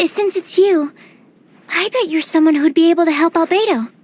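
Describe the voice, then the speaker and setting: A woman playing a shy, polite, bookish young female alchemist in an animated game, speaking earnestly and hesitantly. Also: very high-pitched, moderate pace.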